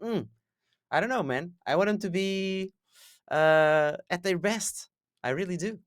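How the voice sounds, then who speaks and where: Dry, higher-voiced man breaking down plays. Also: a little slow, no echo. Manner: excitable, analytical, thinks aloud with fillers. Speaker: esports co-caster. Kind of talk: casual esports color commentary.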